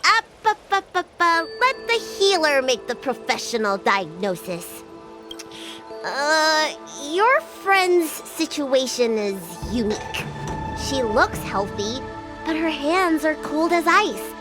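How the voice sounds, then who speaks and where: A woman playing a chatty, excitable young girl healer in a video game, kind and self-assured. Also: sits high, slow pace, music in the background, full character voice, poor audio. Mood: flustered. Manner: exclamatory, flustered, chatty.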